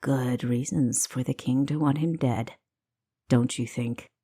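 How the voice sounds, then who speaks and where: A woman voicing a guarded, earnest female spy in an audiobook, with a deep, hushed, measured delivery. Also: fairly even intonation, slightly rough, no room sound, studio quality, full character voice. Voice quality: deep feminine voice.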